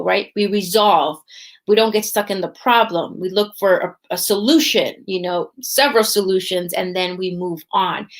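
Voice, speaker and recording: A woman hosts a faith podcast in a loose, chatty way. Her voice sits in an ordinary middle register, and she keeps checking in with listeners by ending thoughts with "right?". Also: mid-range voice. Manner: chatty, often tags lines with "right?". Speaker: podcast host. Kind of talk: casual faith podcast talk.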